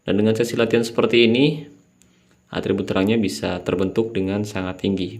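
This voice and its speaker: A man's voice: deep voice, darkish tone. A young man with a slightly nasal, sleepy voice, casually explaining a mobile game strategy and calling his viewers "guys". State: sleepy, late at night. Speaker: mobile game channel host. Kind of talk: casual gameplay tutorial voice-over.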